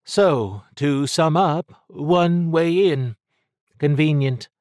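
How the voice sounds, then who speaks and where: A man's voice: a little high, extremely slow, a little mellow, no echo, studio quality, voice pushed up. A man voicing a cool, wry woman spy with a clipped, understated delivery in an audiobook. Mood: dry. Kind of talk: audiobook character dialogue.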